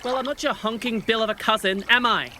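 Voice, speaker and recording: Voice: mid-range voice, quick pace, sharp tone, a little hiss or hum, close to an everyday voice. An actor playing an awkward, wounded, defensive young male swan in a comedic audio drama. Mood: indignant.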